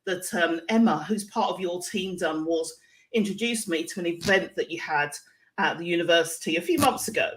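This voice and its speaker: A woman's voice: on the low side, a little fast, a bit bright, rough recording. A woman hosting a live online interview show, speaking in a chatty, effusive and encouraging way. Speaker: show host.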